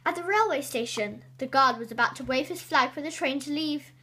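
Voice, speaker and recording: A woman reading a children's storybook aloud expressively, with dramatic, drawn-out emphasis on key words. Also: sits high, sharp tone. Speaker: storybook reader.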